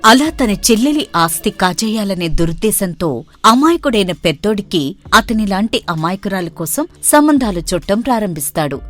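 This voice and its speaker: A woman giving scripted narration for a moral story. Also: medium pitch.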